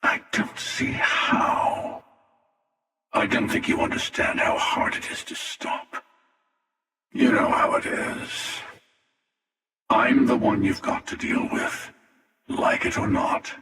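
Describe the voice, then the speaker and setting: A man voicing a jaded, menacing, world-weary older space hunter for a video game, with a gravelly, sardonic and deliberate delivery. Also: on the low side, sharp tone. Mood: weary, pointed. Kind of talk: video game character voice lines. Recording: cavernous echo and long reverb, distant.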